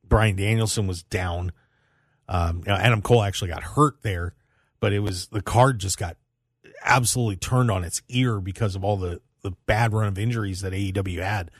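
A man's voice: on the low side, normal speed, dry recording, studio quality. A man hosting a radio show, talking casually about sports news in a rambling way and repeating phrases for emphasis.

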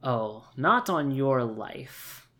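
A tabletop player acts out a haughty, world-weary woman who captains a military force. The words come very slowly, in dry, aristocratic phrasing. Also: medium pitch, animated, fairly bright tone. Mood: grudgingly impressed.

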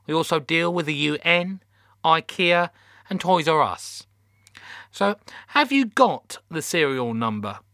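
A man's voice: fairly high pitch, lively intonation, unhurried, bright, forceful tone, no room sound, clean studio sound, pitched above the performer's own voice, heavily acted. A man voicing an officious teenage girl working in customer service, prim, scripted and unyielding. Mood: firm, officious.

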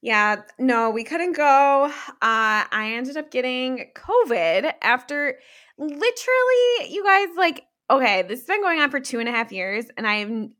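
A slightly hoarse woman co-hosting a casual podcast, rambling along in conversational chat. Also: sits high, very animated, a little slow, sharp tone. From Pennsylvania. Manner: rambling, many "like" fillers. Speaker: podcast co-host.

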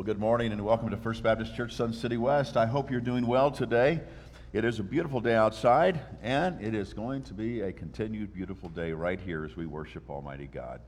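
A pastor with a congested voice from a sinus infection welcomes the congregation in church and leads them in prayer with earnest, steady delivery. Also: male voice, on the low side. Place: church sanctuary. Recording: long church-hall reverb.

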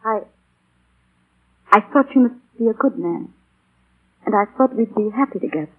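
A woman's voice: on the high side, animated, normal speed, husky. A woman playing a strict, righteous, embittered wife and mother in 1940s radio drama, with a severe, emphatic, accusing manner. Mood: pained, firm. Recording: tinny, narrow 1940 radio broadcast.

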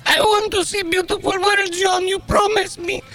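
A man voicing a clingy, demanding Eastern European woman caller in broken English and a high falsetto. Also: little pitch movement, normal speed, loud music behind the voice. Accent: mock Eastern European. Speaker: prank caller bit.

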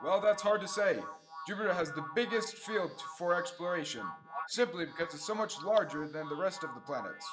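A man's voice: high-pitched, expressive, a little fast, over music, poor audio. A young man playing an eager, adventurous space hero in old-time radio serial style, earnest and full of gee-whiz enthusiasm. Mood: earnest, proud. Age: young adult. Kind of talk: 1930s radio serial acting.

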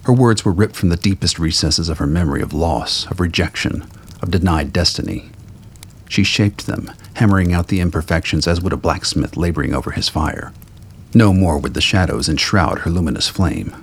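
Very deep gravelly male narrator. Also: moderate pace. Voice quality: gravelly, husky. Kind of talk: scripted dramatic narration of fiction.

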